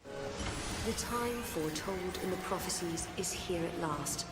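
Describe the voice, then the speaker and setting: A solemn female prophecy narrator, speaking in a lowish voice. The scripted game narration rings with echo under loud music. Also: slow pace.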